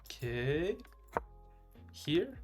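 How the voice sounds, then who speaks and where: A man's voice: on the high side, sing-song, drawn-out words, darkish tone, faint music behind. Hesitant Ukrainian-accented man chatting on a stream. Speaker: live streamer playing chess. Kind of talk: casual live game commentary and chat with viewers.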